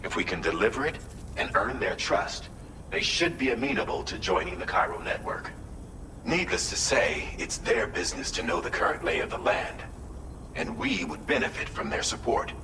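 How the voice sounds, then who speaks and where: An actor playing a composed, businesslike mission adviser in a video game, giving formal, measured and precise briefings. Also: male voice, sits low, animated, roomy sound, background noise. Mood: measured, serious.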